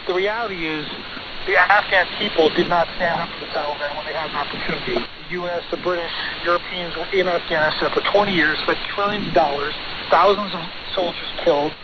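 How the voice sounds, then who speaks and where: Higher-voiced American man on noisy radio. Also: fairly even intonation, a little fast. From United States. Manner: assertive, emphatic, insistent. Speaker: lawyer interviewee. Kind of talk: broadcast interview answers, argumentative. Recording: noisy, dull shortwave radio relay.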